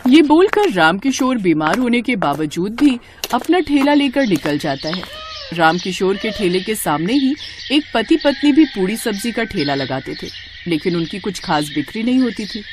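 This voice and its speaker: A woman narrating a scripted moral tale for animation in an expressive storytelling manner. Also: mid-range voice, normal speed, noisy background.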